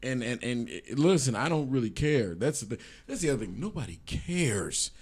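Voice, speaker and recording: A man's voice: medium pitch, big pitch swings, normal speed, darkish tone, breathy edge. An American man on a podcast panel, joking brashly and swearing freely in casual banter.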